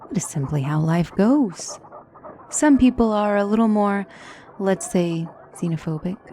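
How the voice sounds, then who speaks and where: A woman playing a poised, formal demonic tutor in a fantasy ASMR roleplay, speaking as a wise and gently reassuring mentor with measured, courtly phrasing. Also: medium pitch, gravelly, a little hiss or hum. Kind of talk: ASMR fantasy roleplay, scripted character acting.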